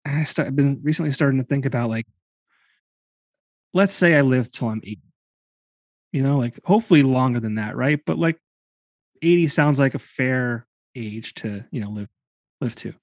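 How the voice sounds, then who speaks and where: A man's voice: medium pitch, moderate pace, long pauses, darkish tone, dry recording, studio quality. Casual man in his late thirties. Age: 30s. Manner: musing, casual, loose with fillers. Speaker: podcast host. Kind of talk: casual conversation.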